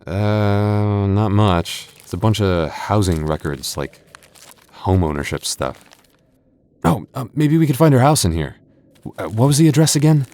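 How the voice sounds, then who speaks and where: A man's voice: lowish voice, expressive, unhurried, darkish tone. A man voicing a boyfriend in an ASMR roleplay, stammering through restarts and teasing playfully.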